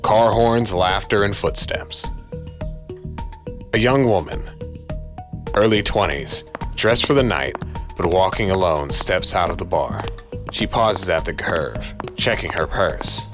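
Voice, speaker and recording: A man narrating a scripted noir horror story in a deliberate, dramatic way. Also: low voice, animated. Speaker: narrator and voice actor. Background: faint music.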